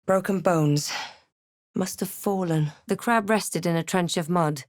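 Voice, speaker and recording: A woman playing a snarky, wary female adventurer in a fantasy video game, with a crisp, terse and dramatic delivery. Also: on the low side, unhurried, no room sound, clean studio sound, close to an everyday voice. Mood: brooding narration. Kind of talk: fantasy video game lines, short remarks and narrated thoughts.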